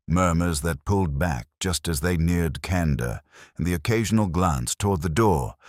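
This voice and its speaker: A man playing a reflective, observant police inspector who narrates a detective audio drama in a measured, literary, brooding manner. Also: sits low, dry recording, studio quality, heavily acted.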